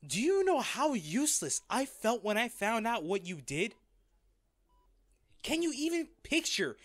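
A man playing a wealthy, devoted, wounded lover in an intimate, halting audio drama. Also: very high-pitched, no echo, full character voice. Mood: anguished, furious. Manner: slow, halting, emotional delivery. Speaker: voice actor in an audio roleplay.

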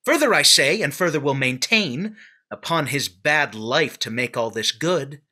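A man voicing a fierce young nobleman making accusations in a Shakespeare reading over a video call, with forceful, measured delivery. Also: on the high side, expressive, exaggerated voice. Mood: accusing. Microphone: video call webcam.

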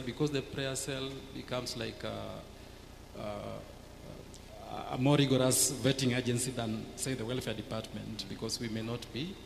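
Gravelly middle-aged Kenyan man on a panel. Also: mid-range voice. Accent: Kenyan English. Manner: thoughtful, hesitant fillers, gesturing. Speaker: church welfare panelist. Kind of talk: panel discussion, explaining. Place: church TV studio set, seated. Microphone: handheld mic. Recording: echoey hall with noticeable noise.

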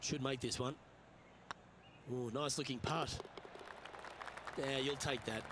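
A man playing a TV golf commentator in a video game, calling play in a measured, conversational way. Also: medium pitch, steady tone, noisy background, natural voice. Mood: measured commentary.

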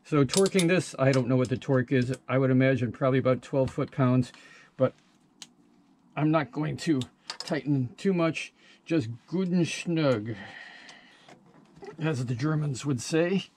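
A man's voice: medium pitch, measured pace, long pauses, a little mellow, slight background noise. An older man giving a casual, plain-spoken how-to narration in a matter-of-fact way. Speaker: sailor demonstrating boat engine repair.

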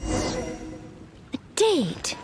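An actress voicing a shy, earnest, dutiful teenage maid knight in an anime-style game, polite and hesitant. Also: female voice, extremely slow, a little hiss or hum. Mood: earnest, helpful.

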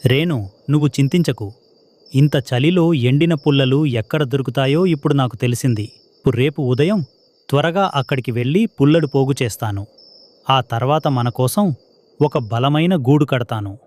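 An earnest little sparrow husband in a children's cartoon, played by a man. He speaks plainly in a medium-pitched voice with no room sound, as a hardworking family bird. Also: normal speed, darkish tone, no echo, lightly acted. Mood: reassuring. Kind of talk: children's moral story cartoon dialogue.

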